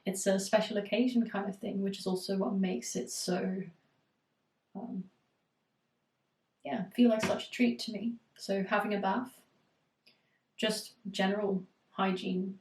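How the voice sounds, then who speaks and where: Hoarse woman with a cold, level delivery, coaching live; distant mic, echoey room. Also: medium pitch, normal speed. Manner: reflective, steady advice with frequent fillers. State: has a cold, voice going hoarse. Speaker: eating disorder recovery coach.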